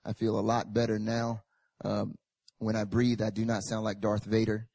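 Raspy-voiced preacher man, casual then fervent. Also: lowish voice, completely flat delivery, moderate pace. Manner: casual and joking, rising to loud fervent Scripture reading and prayer. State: hoarse, recovering from a chest infection. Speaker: pastor. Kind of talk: sermon. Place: church service.

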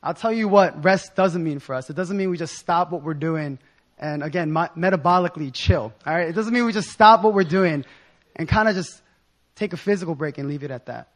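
A young man with a hoarse voice giving an informal sermon in a casual, slangy, excited manner. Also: on the high side, a little fast. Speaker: preacher.

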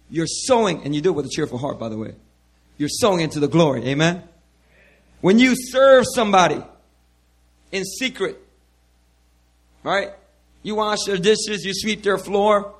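A man preaching to his church with a hoarse, worn-out voice, repeating hallelujah and calling out to the congregation over a handheld mic. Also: sits high, expressive, normal speed, pauses often. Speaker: pastor. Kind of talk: sermon.

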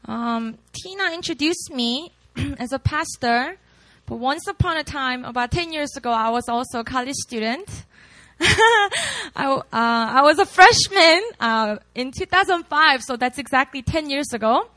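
A young woman pastor with a Korean accent, her voice high and bright. She jokes about herself and laughs easily before moving into scripture, and the sound is close and dry. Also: animated, measured pace, no room sound. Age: late 20s. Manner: chatty, self-deprecating, laughs often. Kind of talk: sermon with scripture reading.

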